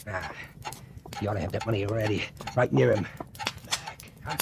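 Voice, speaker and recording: An actor playing a rough male gangster accomplice who talks in coarse, gruff street slang, in 1940s radio acting. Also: fairly low pitch, moderate pace. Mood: agitated. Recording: hissy old radio broadcast.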